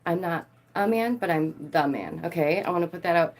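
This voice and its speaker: Bubbly low-voiced woman vlogger. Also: fairly quick. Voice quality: lower voice. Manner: bubbly, laughs often, chatty asides. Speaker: channel host. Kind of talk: casual chat to camera.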